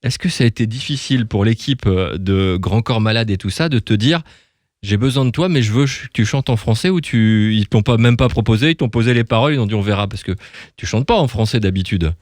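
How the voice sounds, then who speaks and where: A man's voice: on the low side, a little fast, a little mellow, no room sound, studio quality. A man hosting a radio interview, chatty and enthusiastic, with frequent fillers and restarts. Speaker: radio host.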